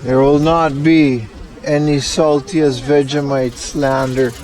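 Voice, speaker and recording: A man's voice: medium pitch, fairly even intonation, slow, a little mellow, a little hiss or hum. A man livestreaming, chatting casually with viewers in a slangy, rambling way as he thinks aloud. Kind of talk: casual chat with live stream viewers.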